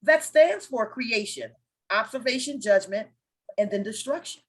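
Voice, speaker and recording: A woman's voice: on the high side, animated, a little slow. Confident woman explaining spiritual ideas. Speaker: host of a spiritual discussion. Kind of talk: casual spiritual conversation.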